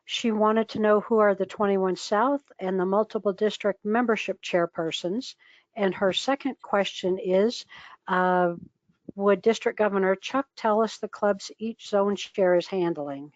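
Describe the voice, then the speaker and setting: A woman's voice: mid-range voice, unhurried, no room sound. A woman moderates a meeting and reads out audience questions, stopping to correct herself. Her voice sounds dry and dull, like lo-fi online meeting audio.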